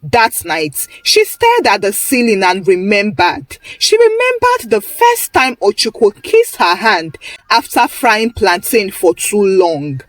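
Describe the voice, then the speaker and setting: Animated Nigerian woman storyteller. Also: mid-range voice, slow pace, no room sound. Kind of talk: dramatic scripted story narration.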